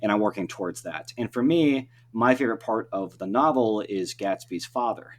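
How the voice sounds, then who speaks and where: A man's voice: lowish voice, moderate pace, slight background noise. A man speaking as a podcast guest and writer, explaining things in a talkative, casual conversational way.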